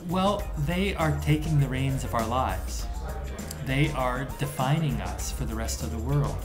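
A man in his fifties, an author being interviewed, explains his ideas in an animated, emphatic way. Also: medium pitch. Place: indoors in an office room.

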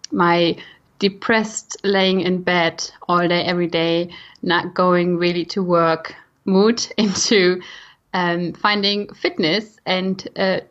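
A woman's voice: fairly low pitch, steady tone, slow, a bit bright. A young woman from Germany with a German accent, telling her own story conversationally as a podcast guest. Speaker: podcast guest, nutrition coach. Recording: dull, slightly lo-fi audio.

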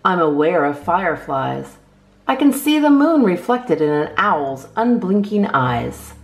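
A low-voiced woman reads poems aloud to children with theatrical emphasis. Her intonation swings widely and her voice has a creaky edge. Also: sing-song, measured pace. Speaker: storytime host reading a picture book.

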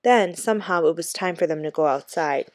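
A woman's voice: fairly low pitch, a little fast, no room sound. Woman reading a storybook aloud, dry sound. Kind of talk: expressive children's book read-aloud.

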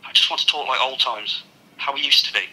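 A man's voice: mid-range voice, quick pace, bright, forceful tone, a little hiss or hum, rough recording. A young man acting in a radio drama, playing a pleading, manipulative ex-boyfriend through a telephone filter. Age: young adult. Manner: pleading, persuasive.

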